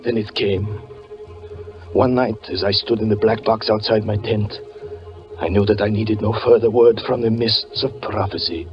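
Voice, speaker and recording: An actor playing a haunted, doom-laden fortune teller, narrating gravely and haltingly in 1940s radio drama style. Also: male voice, lowish voice, fairly even intonation, natural voice. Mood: dread. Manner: grave, halting, melodramatic delivery. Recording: muffled 1940s radio recording with music.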